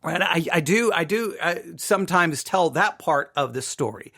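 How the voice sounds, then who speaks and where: A man's voice: fairly high pitch, expressive, normal speed, pushed and bright. A middle-aged man from Texas hosting a podcast, telling a personal story in an emphatic, repetitive monologue. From Texas, United States. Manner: emphatic, repetitive, seeking agreement with "right?". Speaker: podcast host.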